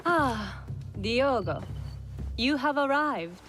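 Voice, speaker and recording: A poised noble lady teacher in a video game, very formal n measured, soft music under it. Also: high-pitched, expressive, heavily acted. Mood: welcoming, composed. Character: gracious, composed noble lady, teacher of calligraphy. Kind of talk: video game cutscene acting. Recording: faint game music behind.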